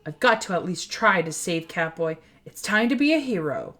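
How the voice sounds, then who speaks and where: A woman's voice: on the low side, animated, moderate pace, fairly bright tone. A woman voicing an earnest, nervous young boy superhero in a children's storybook. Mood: earnest, encouraging. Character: young boy gecko-costumed hero, nervous but earnest. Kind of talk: children's storybook character reading.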